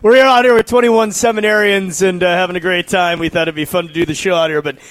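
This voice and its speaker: A man, a priest, co-hosting a live radio talk show in a relaxed, laughing manner, broadcasting from outdoors at a lake. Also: high-pitched, fast talker, dry recording. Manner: laughing, relaxed, coughs now and then. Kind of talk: casual live radio chat.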